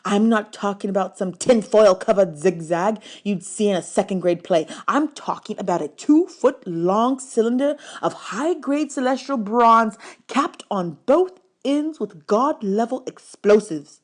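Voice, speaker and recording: A woman's voice: mid-range voice, animated, unhurried. Woman as an old male mentor, stern, learned, formal, emphatic storybook delivery. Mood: worked up.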